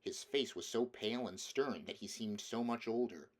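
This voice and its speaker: Male audiobook reader, low register, scripted storybook narration. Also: normal speed.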